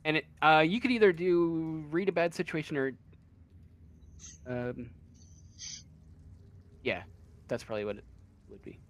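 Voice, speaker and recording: A man narrating a tabletop role-playing game as game master, in halting, hesitant fragments. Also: fairly high pitch, animated.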